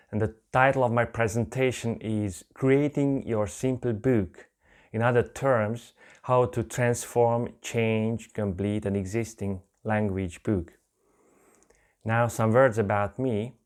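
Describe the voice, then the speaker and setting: Hungarian man, middle-aged, unhurried careful delivery, webcam presentation. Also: on the low side, steady tone. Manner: careful, measured non-native phrasing. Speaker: conference presenter. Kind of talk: slide presentation talk.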